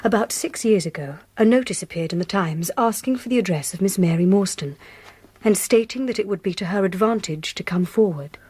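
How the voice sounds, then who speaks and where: A woman's voice: mid-range voice, fairly quick, darkish tone, breathy edge, close to an everyday voice. A woman acting a reserved, well-bred young Victorian governess with an English accent, composed and precise, in a radio drama. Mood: composed, earnest.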